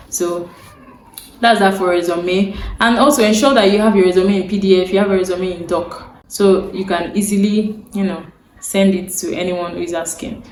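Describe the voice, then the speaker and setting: A woman's voice: medium pitch, a little hiss or hum. A young Nigerian woman, a native Yoruba speaker, giving earnest career advice to camera. She repeats her key points and checks in with her viewers. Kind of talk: instructional talk to camera. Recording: roomy echo, faint ambience.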